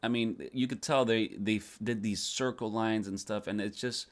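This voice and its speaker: A man guesting on a podcast, talking over a film in a thoughtful, halting way with repeated words. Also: fairly low pitch, fairly even intonation. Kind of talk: casual film discussion.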